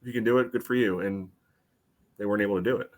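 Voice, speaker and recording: A fairly low-pitched man co-hosts a sports podcast. He explains soccer tactics in casual talk, qualifying his points with asides as he goes. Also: steady tone, very fast.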